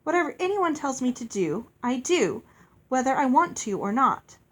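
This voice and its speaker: A woman's voice: sits high, big pitch swings, moderate pace. A woman voicing an earnest, polite young girl from a storybook, courteous and pleading.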